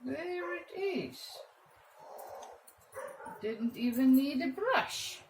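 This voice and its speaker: An Afrikaans-accented woman teaches painting in a slow, unhurried way, her pitch swinging high and low. She talks straight to her viewers as she works. The room is echoey, with a faint hum behind her. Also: animated, darkish tone. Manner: chatty, talks to viewers while painting, playful exclamations. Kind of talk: casual step-by-step painting lesson.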